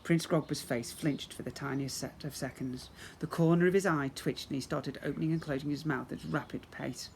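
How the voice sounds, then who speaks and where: A man giving an expressive storybook narration, as a teacher reads to young children. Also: fairly high pitch, a little fast, hardly any pauses. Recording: clear background noise.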